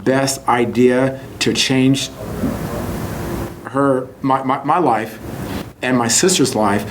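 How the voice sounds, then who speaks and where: Man from Texas, halting, over background noise. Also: medium pitch. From Houston, Texas, United States. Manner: halting, repeats words and phrases for emphasis. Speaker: interview guest, entrepreneur and author. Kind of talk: conversational personal storytelling in an interview. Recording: clearly noisy background ambience.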